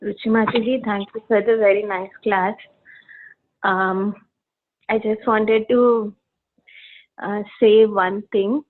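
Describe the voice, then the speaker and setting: A woman taking part in an online class, speaking politely and slowly with frequent fillers. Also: medium pitch, level tone. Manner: polite and measured, many fillers. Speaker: class participant. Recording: thin phone-line sound.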